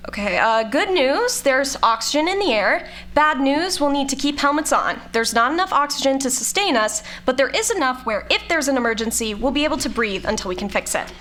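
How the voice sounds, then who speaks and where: A woman playing a snarky, brisk scientist in an audio drama, with a dry, instructing manner. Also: high voice, animated, fairly bright tone. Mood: practical, brisk. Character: snarky, brisk female scientist exploring a planet.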